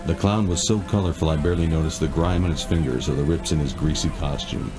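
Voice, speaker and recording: A man acting as a brooding narrator, telling a haunted childhood memory in dramatic, measured, scripted style. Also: rumbling low, steady tone. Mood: brooding, wistful. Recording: loud music behind.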